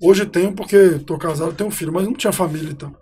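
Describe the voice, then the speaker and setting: A man in his forties from Rio de Janeiro, a guest telling his life story in a relaxed, talkative way on a podcast. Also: high voice, very fast, slight background noise. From Rio de Janeiro, Brazil. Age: 40s. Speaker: guest, city councillor. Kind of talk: casual storytelling interview.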